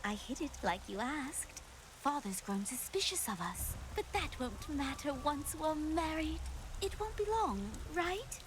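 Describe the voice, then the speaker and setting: An actress playing a naive, lovestruck young farmer's daughter in a video game, gushing and sweet. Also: female voice, high voice, expressive, measured pace, background noise. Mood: adoring.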